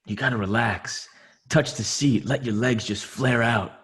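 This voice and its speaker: A man reading the part of a calm, crude prison inmate at a table read, coaxing and mocking. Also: mid-range voice, unhurried, natural voice.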